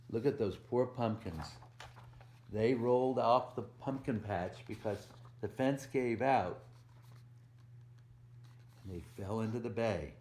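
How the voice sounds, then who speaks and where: A man reads a picture book to small children at a very unhurried pace, in a mid-pitched voice. He leans on the rhymes and pauses to explain things simply or put a question to the young listeners. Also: warm tone. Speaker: volunteer children's story reader. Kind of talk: read-aloud picture book storytime for preschoolers.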